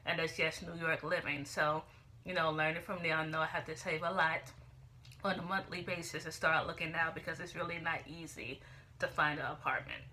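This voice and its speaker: A young woman chats loosely to her camera, drifting from thought to thought. Her voice sits a little low but sounds bright and forward. A faint hiss of room noise stays in the background. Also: steady tone, fairly quick. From Chicago, USA. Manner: rambling and chatty, full of "you know" and "like". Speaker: vlogger. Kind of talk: casual chat to camera.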